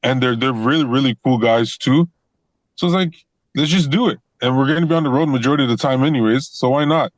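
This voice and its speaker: Young male wrestler guest, easygoing, rambling restarts, mid-pitched, dry mic. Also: fast talker, no echo. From Toronto, Canada. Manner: relaxed, repeats words and restarts often, laughs easily. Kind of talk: casual interview chat.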